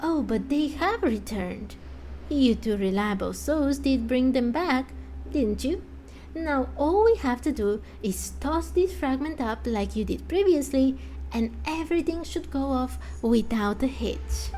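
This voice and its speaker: A woman's voice: high voice, lively intonation, unhurried, dark tone. A woman voicing a breezy, boastful young female mechanic from a fantasy game, talking glibly. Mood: cheerfully confident. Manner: boastful, glib. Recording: music under the voice.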